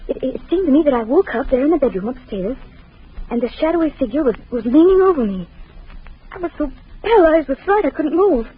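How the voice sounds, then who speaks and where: A terrified young wife, old radio. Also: female voice, high voice, lively intonation, a little fast. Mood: shaken. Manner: trembling, breathless, fearful. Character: frightened young wife haunted by nightmares. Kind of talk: 1940s radio acting. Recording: dull old radio recording.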